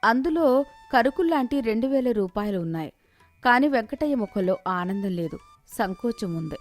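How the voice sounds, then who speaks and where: A woman's voice: on the high side, animated, moderate pace, faint music behind. A woman giving scripted narration of a moral story as its storyteller.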